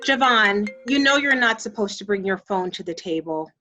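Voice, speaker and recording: A woman's voice: mid-range voice, lively intonation, normal speed, pushed and bright, soft background music. A woman playing a stern mother in an audio drama, scolding her children in an emphatic way. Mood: firm. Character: stern mother of bickering children at the dinner table.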